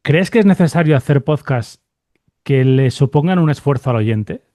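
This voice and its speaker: A man with a dark, mellow voice hosts a conversational interview, recorded close and dry. His pitch sits in the middle for a man, and he feels his way through halting phrases, often ending on a short checking question. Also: expressive, no room sound. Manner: hesitant, halting phrasing with repeated tag questions. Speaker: podcast host and interviewer.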